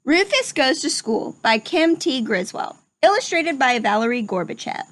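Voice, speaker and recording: A woman reading a picture book aloud to young children in an expressive storytelling manner. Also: sits high, moderate pace. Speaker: storybook reader. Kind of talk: children's read-aloud narration.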